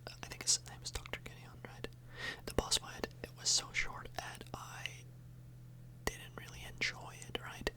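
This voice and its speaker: A woman speaking in a breathy whisper, rambling casually for an ASMR video, close to the microphone and often tagging on questions. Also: sharp tone. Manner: casual, meandering, frequent tag questions.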